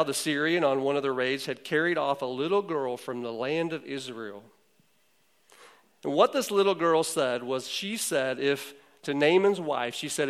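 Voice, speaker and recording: A man's voice: on the high side, fairly bright tone, smooth. A man preaching a sermon, retelling a story in a conversational way with frequent fillers. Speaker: pastor.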